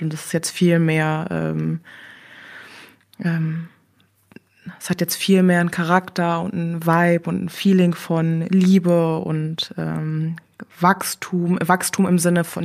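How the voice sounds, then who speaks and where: Hesitant, soft-spoken woman, low voice. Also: creaky. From Germany. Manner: hesitant, searching for words with many fillers. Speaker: interview guest. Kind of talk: reflective interview conversation.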